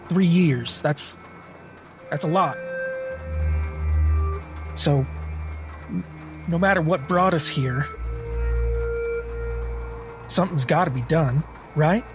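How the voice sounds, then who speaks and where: Male player as folksy, determined adventurer: fairly high voice, drawn-out emphatic delivery, faint music. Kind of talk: in-character tabletop roleplay.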